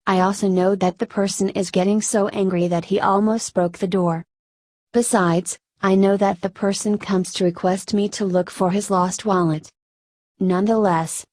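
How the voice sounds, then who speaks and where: A woman's voice: mid-range voice, a little mellow, no room sound. A woman reading a scripted first-person narration of a Buddhist story.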